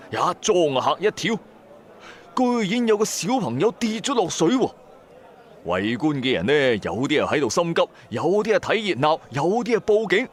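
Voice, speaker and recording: A man with a Cantonese accent narrating an audio drama in a colloquial, dramatised first-person style, with chatty asides to the listener. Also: mid-range voice. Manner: colloquial, animated storyteller with rhetorical asides.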